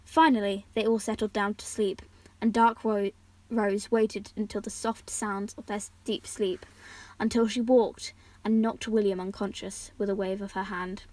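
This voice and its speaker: A woman's voice: medium pitch, flat delivery, normal speed, a little mellow, no echo. A young woman reading aloud and chatting casually in an informal way, sometimes stumbling and correcting herself. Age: teenager or young adult, still at school. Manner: informal, stumbles and self-corrects over words. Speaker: amateur audiobook reader, channel host.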